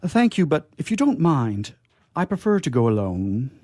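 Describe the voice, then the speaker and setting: A man playing a courteous, dignified guardian angel in a live radio comedy, speaking in a formal, measured way. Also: fairly high pitch, big pitch swings, darkish tone, no room sound, studio quality, pitched above the performer's own voice. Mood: politely earnest.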